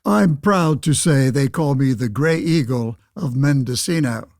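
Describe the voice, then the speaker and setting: An actor playing a pompous, self-righteous 1911 state senator in a radio play, speaking in formal, declamatory phrases. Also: male voice, mid-range voice, measured pace, darkish tone, no room sound, clean studio sound, natural voice. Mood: proud, assured. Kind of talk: pseudo-radio play interview and oratory.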